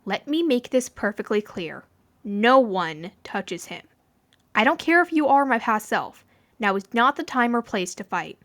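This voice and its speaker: A woman's voice: on the high side, animated, a little slow. A young mafioso from the future , voiced by a woman, speaks with stern authority. He is protective and a little smug, delivering his lines firmly in a fan-fiction audio story. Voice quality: dark, stern voice.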